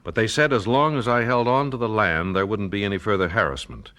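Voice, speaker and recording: An actor playing a worried, wealthy landowner in a 1970s radio drama, speaking in a hesitant, measured, troubled way. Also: male voice, lowish voice, sharp tone. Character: weary wealthy landowner, worried father of a kidnapped family.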